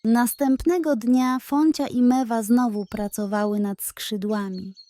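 A woman's voice: on the high side, fairly even intonation, measured pace, dark tone, dry recording. A woman storyteller giving a scripted narration of a children's bedtime story.

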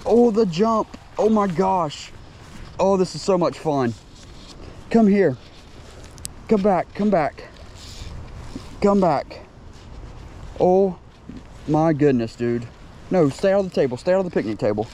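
A young man filming a solo fishing vlog outdoors at a lake with a chest-mounted action camera, excitably talking to the fish as he reels them in. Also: high voice, slow pace, pauses often, slight background noise. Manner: excitable, talks to the fish while reeling. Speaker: fishing vlogger. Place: outdoors by a lakeside.

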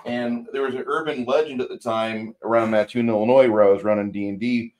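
A man's voice: mid-range voice, steady tone, fairly quick, darkish tone, poor audio. A man co-hosting a casual movie review over a video call, telling long rambling stories with wry humor. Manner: rambling storyteller, wry, hesitant with fillers. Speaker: co-host.

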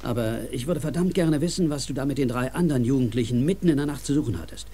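A man's voice: mid-range voice, quick pace, mellow, lightly acted. A middle-aged man playing an insistent, commanding police officer and protective father in a radio play. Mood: stern, probing.

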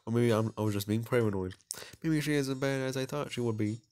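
A man narrates a visual novel in a casual, mid-pitched voice. He reads aloud like a gaming host, stumbling over the text and chatting loosely in a self-mocking way. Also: brisk, a little mellow.

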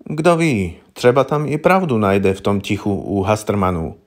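A man voicing a wary, gruff old village herbalist woman in an audiobook, with blunt, knowing, rustic colloquial speech. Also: lowish voice, very animated. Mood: knowing, matter-of-fact. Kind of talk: audiobook character voice.

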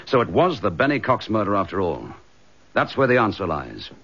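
An actor playing a brisk, steady police inspector in a radio drama, with a husky British voice. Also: male voice, fairly low pitch, heavily acted. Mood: probing, intent. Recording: dull, band-limited radio recording.

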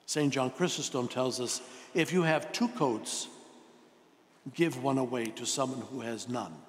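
A man in his sixties, a priest giving a sermon in a deliberate, emphatic way with rhetorical questions and pauses. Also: mid-range voice. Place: large church, indoors at the altar. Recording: long reverberant church echo.

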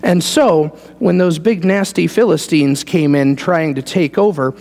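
Male American pastor, middle-aged, slightly high-pitched with a creaky edge; folksy sermon storyteller in a big church. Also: unhurried, a little mellow. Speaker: pastor preaching. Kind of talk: sermon with conversational asides. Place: large church sanctuary, indoors, standing before a congregation. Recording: reverberant church hall.

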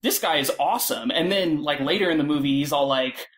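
A man talking casually as a panel guest, halting and peppering his speech with filler words. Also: fairly high pitch, a bit bright. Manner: halting, filler-heavy, frequent "like". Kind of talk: casual discussion.

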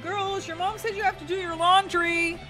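A woman voicing a cheerful, easygoing, goofy dad in an animated, playful family role-play over a video game. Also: very high voice, normal speed, over music. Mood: nagging.

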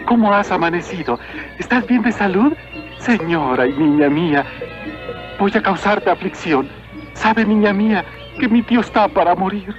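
A devout, humble peasant man speaks earnestly and high. The old cartoon soundtrack is dull, with clear music underneath. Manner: deferential, earnest. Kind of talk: dubbed cartoon acting.

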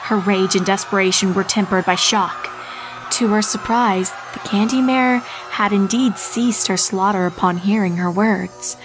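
A woman's voice: medium pitch, a little slow, rough recording. A woman reading a horror story as a scripted narrator. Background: music.

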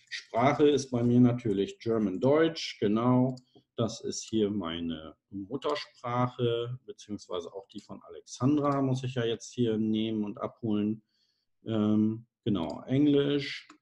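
A man's voice: mid-range voice, warm tone, highs cut off. A middle-aged man presenting a casual screen-share tutorial on a video call, chatty and self-deprecating, thinking aloud with fillers. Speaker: online course presenter.

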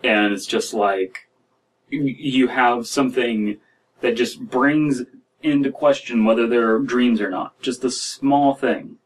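A man in his thirties reading and reacting to stories as a podcast host, in a halting, wry, casual way. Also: mid-range voice, recorded from a distance, a little hiss or hum, rough recording. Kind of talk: casual commentary and story reading. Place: small dim room indoors.

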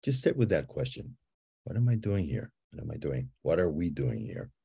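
A man from Guatemala who leads ceremonies, guiding listeners through a slow, calm visualization and telling a story with soft repeated invitations. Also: a bass voice, creaky, slightly rough. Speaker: ceremony leader guiding a visioning exercise.

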